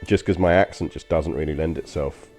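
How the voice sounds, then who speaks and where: Deep mellow London man chatting. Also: fairly quick, soft background music. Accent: London English. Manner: rambling and chatty, frequent ums and uhs. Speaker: game streamer. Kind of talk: casual gameplay commentary to stream chat.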